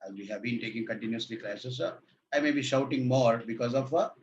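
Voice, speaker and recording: A man's voice: medium pitch, brisk, slight background noise. A man with a sore throat, teaching an online lecture, repeating each point. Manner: repetitive, asks if audible, says sir. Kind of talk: online lecture on state reduction. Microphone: laptop mic. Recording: distant, echoey, dull laptop mic.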